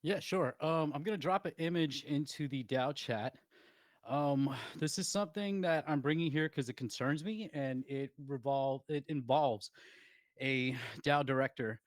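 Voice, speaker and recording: A man's voice: on the high side, measured pace, no echo. A man raises a concern on a community call, choosing his words with care. He speaks hesitantly, with sighs and restarts, and the sound is dry and close. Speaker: community member raising a concern. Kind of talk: casual call discussion.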